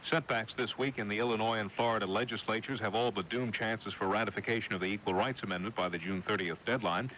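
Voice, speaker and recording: A man's voice: on the low side, fairly even intonation, fast talker, few pauses, sharp tone. An American man anchoring a radio newscast, reading scripted news stories. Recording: noisy 1980s AM radio broadcast.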